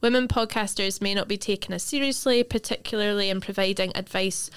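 A woman's voice: mid-range voice, fairly even intonation, a little fast, smooth. A young woman, co-hosting a casual podcast chat and talking loosely with fillers and the odd swear word. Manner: chatty, swearing, many fillers. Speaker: podcast host.

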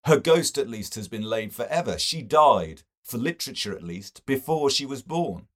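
A man's voice: medium pitch, animated, moderate pace, close to an everyday voice. A man voicing a sceptical male literary scholar in a novel, with a carping manner.

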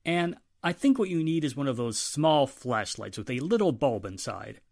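A man's voice: medium pitch, expressive, moderate pace, gravelly, darkish tone. A man playing a stern, fatherly police inspector in a 1940s-style radio drama, admonishing and authoritative. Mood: helpful.

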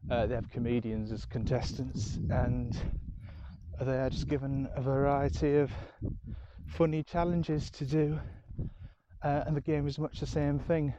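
A man's voice: medium pitch, little pitch movement, measured pace, dark tone, no echo, lo-fi sound. A man with a Yorkshire accent rambling casually through his weekend in a diary-style chat, with lots of ums and a self-deprecating manner. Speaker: diary podcast host. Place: home.

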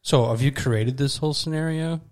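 A man's voice: mid-range voice, moderate pace, a little mellow. A man, a church pastor, playing a mock therapist on a podcast in a dry, joking manner. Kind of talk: playful mock therapy session.

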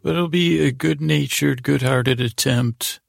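Gravelly, creaky man rambling soothingly. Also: medium pitch, soft background music. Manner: meandering, halting, with pregnant pauses; lulling, soothing. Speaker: podcast host. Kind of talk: rambling bedtime podcast monologue.

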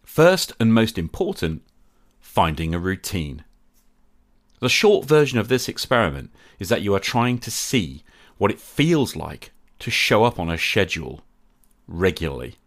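A man with a deep, low voice delivers a reflective scripted monologue. His tone is measured and candid, and he is openly critical of himself. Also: very animated. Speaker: podcast host.

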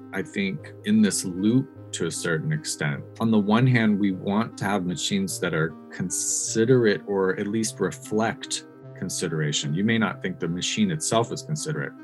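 A man speaking as an interviewed researcher in a thoughtful discussion, reflective and given to posing rhetorical questions. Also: low voice. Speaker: interviewed researcher on ethics of technology.

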